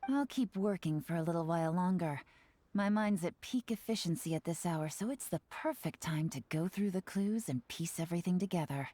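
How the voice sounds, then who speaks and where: A woman voicing a shrewd, playful young spymaster in a video game, teasing and self-assured, with a smooth delivery. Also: lowish voice, animated, normal speed, dark tone. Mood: relaxed, sleepy. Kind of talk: scripted video game character dialogue. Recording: game music behind the voice.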